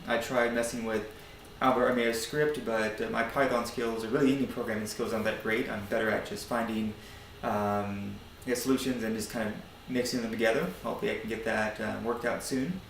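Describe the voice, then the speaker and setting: An American man giving a casual DIY demonstration of a hobby project, speaking in a hesitant, halting, informal way. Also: medium pitch, steady tone, a little hiss or hum, poor audio. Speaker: hobbyist maker presenting a project.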